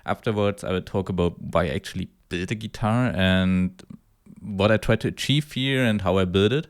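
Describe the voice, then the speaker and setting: A man's voice: deep voice, moderate pace. A man talking casually to camera about a personal hobby project, thinking aloud and correcting himself as he goes. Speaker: hobby guitar builder presenting own project.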